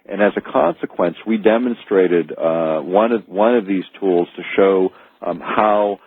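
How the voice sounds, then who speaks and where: A North American man speaks as a radio guest in a low, husky voice. He sets out his account earnestly and in careful detail, halting as he goes. The sound is thin and echoey, like an old phone call on air. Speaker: guest author and researcher. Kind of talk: radio interview, explanatory account. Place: remote call from Alaska into radio show.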